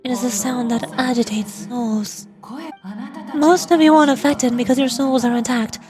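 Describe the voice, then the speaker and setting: A woman playing a solemn ancient angelic spirit in a video game, speaking formally and with measured care. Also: on the high side, music in the background, natural voice. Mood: solemn, grave. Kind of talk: scripted game dialogue.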